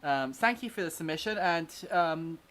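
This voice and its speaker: A woman giving measured, practical feedback on drums and plugins during a live stream chat. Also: sits low, lively intonation, a bit bright.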